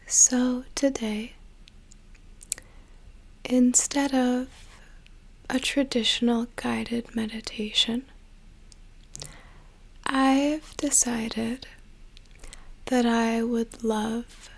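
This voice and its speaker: A young woman whispering a slow, soothing guided meditation as an ASMR creator, with a soft breathy voice. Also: on the high side, fairly even intonation.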